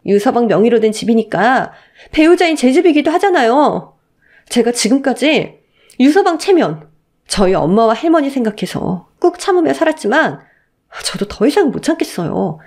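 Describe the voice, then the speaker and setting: A woman's voice: fairly high pitch, big pitch swings, normal speed, a little mellow, pitched above the performer's own voice. A woman playing a resolute young wife in a melodramatic audio story, speaking firmly and emotionally. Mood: defiant. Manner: firm, emotional, pleading edge. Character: indignant, resolute young married daughter-in-law.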